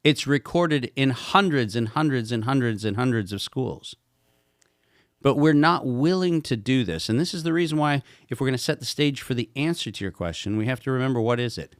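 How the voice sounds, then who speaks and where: Man, mid-pitched, emphatic guest expert who throws out rhetorical questions; dry, close recording. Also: lively intonation, a little fast, no echo. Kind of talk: podcast conversation.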